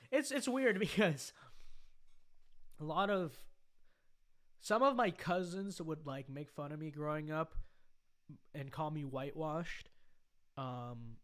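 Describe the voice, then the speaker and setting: Young American male livestreamer, higher-pitched bright voice, casual, halting and repetitive delivery. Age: young adult. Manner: halting delivery with repeated phrases. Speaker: livestream host. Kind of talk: casual livestream chat answering viewer comments.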